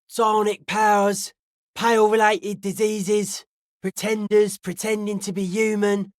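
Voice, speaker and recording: Woman voicing a deadpan, suspicious young raver, dry studio sound. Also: medium pitch, dry recording, close to an everyday voice. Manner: deadpan, clipped, cryptic pronouncements. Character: suspicious, aloof young hardcore raver with cryptic theories. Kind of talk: video game character dialogue.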